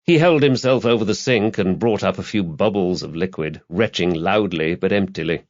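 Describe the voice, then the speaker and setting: Low-voiced man narrating a crime novel. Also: normal speed, dull audio. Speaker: audiobook narrator.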